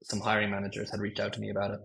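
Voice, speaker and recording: Low, flat-voiced Irish man. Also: very fast, vocal fry, rough recording. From Ireland. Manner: rambling and self-correcting, many ums, laughs easily. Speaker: guest, machine learning engineer. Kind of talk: casual podcast chat.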